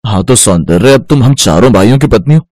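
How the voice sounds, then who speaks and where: Man acting an animated, earnest young farmer. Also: mid-range voice, brisk, no echo, studio quality, natural voice. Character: young village farmer brothers, respectful, earnest, excitable.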